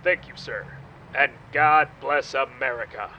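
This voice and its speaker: A man playing a dogged, patriotic Nazi hunter, blunt and deadpan, in a comedic radio play. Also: medium pitch, a little slow, highs cut off. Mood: cool, pointed. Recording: faint background noise.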